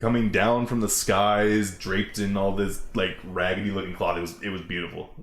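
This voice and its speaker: Loud, rambling man reacting outdoors. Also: low voice, normal speed. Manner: excited, rambling, self-interrupting. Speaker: show host. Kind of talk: casual reaction chat. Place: outdoors in the shade. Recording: roomy with faint noise.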